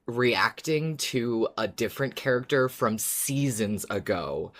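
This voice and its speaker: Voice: medium pitch, expressive, slow, a bit bright. A podcast co-host who talks informally about a favourite show, in both recap and fan discussion. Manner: informal, sweary, often laughing. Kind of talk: scripted recap, then casual fan discussion.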